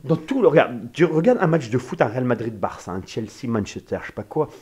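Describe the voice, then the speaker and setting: A man from Spain co-hosting a casual podcast, holding forth with strong opinions. His pitch swings widely as he talks, and his delivery is animated and expressive. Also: fairly quick. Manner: animated, opinionated, mimics people in passing. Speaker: podcast co-host.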